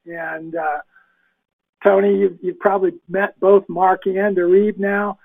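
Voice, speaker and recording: A man's voice: sits high, flat delivery, unhurried, creaky, narrow, tinny audio. A man hosting a webinar podcast interview, upbeat and chatty, talking over a video call. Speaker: podcast host.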